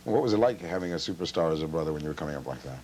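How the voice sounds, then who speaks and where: Fast-talking deep-voiced TV interviewer. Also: male voice, lively intonation, a little mellow, a little hiss or hum. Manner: brisk, chatty questioning. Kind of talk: interview questions.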